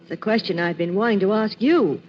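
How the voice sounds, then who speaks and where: A woman playing a sleuth's sharp-tongued, easily startled companion, with pert, expressive delivery in 1940s radio mystery style. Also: medium pitch, a little fast, natural voice. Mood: exasperated, teasing. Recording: muffled, noisy 1940s radio recording.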